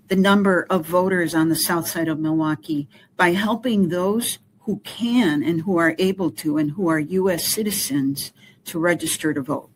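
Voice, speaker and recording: A woman volunteering with a community voting group, explaining voter resources in a measured, careful way. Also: fairly low pitch, a little mellow, a little hiss or hum, poor audio. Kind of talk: explanatory interview answers.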